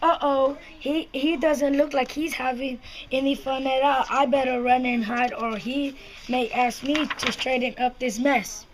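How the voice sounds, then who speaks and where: Young girl as a slow, high-voiced trickster coyote. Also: steady tone. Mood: worried. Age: child, about ten. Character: mischievous, scheming trickster coyote. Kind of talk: reader's theater puppet show. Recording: noisy recording with clicks.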